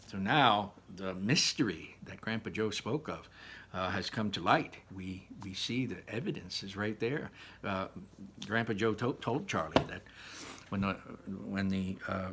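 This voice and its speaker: A man informally recapping and narrating a children's story as a read-aloud host, halting and repeating words for emphasis. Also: sits low, a bit bright, husky.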